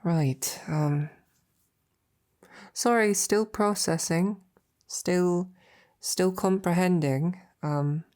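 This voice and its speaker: A woman playing a loving, flustered lady knight in an intimate roleplay audio drama, speaking softly and haltingly with tender, teasing affection. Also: fairly low pitch. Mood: tired, overwhelmed. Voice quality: soft, breathy, husky. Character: loving, flustered female royal knight courting a princess.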